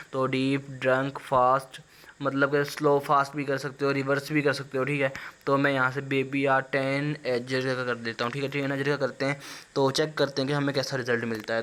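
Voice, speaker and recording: A man's voice: medium pitch, a bit bright, clean tone. A man narrating a casual tutorial as a YouTuber, chatting directly to his viewers. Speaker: YouTuber host. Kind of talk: casual screen-recorded tutorial voice-over.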